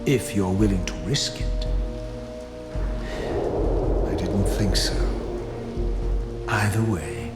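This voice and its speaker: An actor playing a proud, sardonic undead wraith in a video game, speaking in a formal, theatrical and biting manner. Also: male voice, deep voice, slow pace, pauses often. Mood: cold, smug. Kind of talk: dramatic video game voice acting and narration. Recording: echoey, with music behind.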